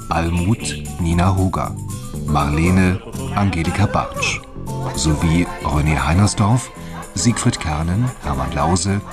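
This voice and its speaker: Slow, low-voiced male radio announcer. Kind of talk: scripted radio drama credits announcement.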